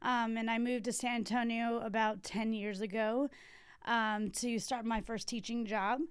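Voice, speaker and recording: A woman's voice: fairly high pitch, steady tone, unhurried, smooth, no room sound, clean studio sound. A woman in her thirties from Texas, telling her career story as a podcast guest in casual chat with many fillers. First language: Spanish alongside English. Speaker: guest, library branch manager. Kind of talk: casual interview.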